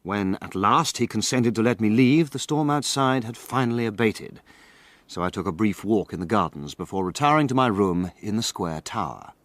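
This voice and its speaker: An actor playing a wry, refined gentleman narrator of a radio mystery, measured and understated. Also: on the low side, animated, slight background noise. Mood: wry, reflective. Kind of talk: scripted first-person drama narration.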